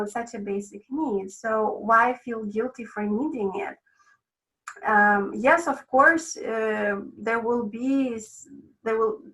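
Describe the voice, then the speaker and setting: A woman's voice: mid-range voice, a bit bright, far from the mic, poor audio. A woman from Russia, a guest sleep consultant speaking in a casual interview, thoughtful and hedging with many ums and restarts.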